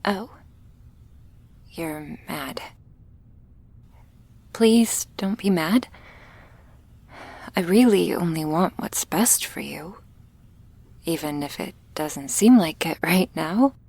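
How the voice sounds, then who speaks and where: A woman playing a shy, anxious, apologetic young vampire in an intimate ASMR roleplay, speaking softly and haltingly. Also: on the low side, expressive, husky, no room sound, full character voice. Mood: pleading. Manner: hesitant, halting, soft-spoken with whispery moments and nervous little laughs.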